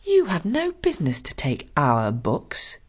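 Sneering spoiled bully boy from a storybook, read by a woman, loud and mean, slow and low. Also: huge pitch swings, breathy edge, heavily acted. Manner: sneering, contemptuous.

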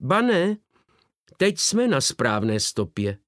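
A man reading an audiobook voices a shrewd, self-assured middle-aged detective with a crisp manner. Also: mid-range voice, big pitch swings, fairly bright tone, no echo, studio quality. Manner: crisp, assured, sly charm. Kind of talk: audiobook character voice.

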